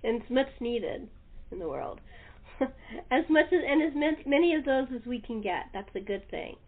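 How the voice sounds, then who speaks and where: Woman, interview host, casual and agreeable, slightly high voice, narrow-band call sound. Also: expressive, normal speed. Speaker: host interviewing radio-play cast. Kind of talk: casual chat.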